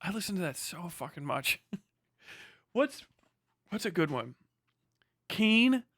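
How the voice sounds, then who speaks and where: High-voiced man ranting casually on a livestream. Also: very animated, slow pace, fairly bright tone, no echo, clean studio sound. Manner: sweary, joking, exaggerated rants. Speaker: livestream host. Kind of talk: casual rambling livestream chat.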